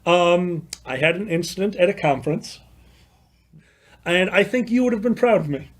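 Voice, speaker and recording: A man's voice: sits high, normal speed. A man with a Chicago accent, co-hosting a livestream chat and telling self-deprecating, joking stories. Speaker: podcast co-host.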